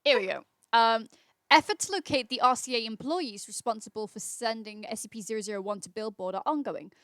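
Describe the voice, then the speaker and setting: A woman's voice: a little high, fairly quick, fairly bright tone, no echo, clean studio sound. A woman hosting a casual livestream, reading aloud in an informal, chatty way. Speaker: livestream host. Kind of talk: casual livestream read-aloud with chat replies.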